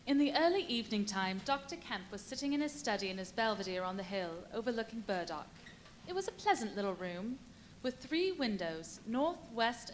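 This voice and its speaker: A woman reading scripted narration for a live radio play in a measured, descriptive manner. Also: mid-range voice, natural voice. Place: live stage performance in a brewery venue. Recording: noisy live venue recording.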